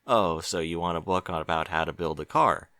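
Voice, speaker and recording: A man's voice: low-pitched, a little fast, sharp tone, dry recording, clean studio sound, pitched below the performer's own voice. A man voicing a young male dragon who grumbles in a laid-back, slightly surly way. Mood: casual. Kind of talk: audiobook character voice.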